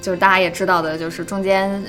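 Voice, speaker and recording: A woman's voice: fairly low pitch, flat delivery, fast talker. Effusive mainland Mandarin woman, music playing behind. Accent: mainland Chinese Mandarin. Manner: effusive, repeats phrases for emphasis. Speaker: voice drama cast or crew member on a live stream. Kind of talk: casual live-stream thank-you chat.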